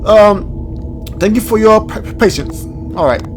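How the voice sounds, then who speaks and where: A man hosting a gaming video, thinking aloud in an informal, halting way as he comments and reads from the screen. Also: sits high, music in the background. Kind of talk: casual let's play commentary, reading on-screen text aloud.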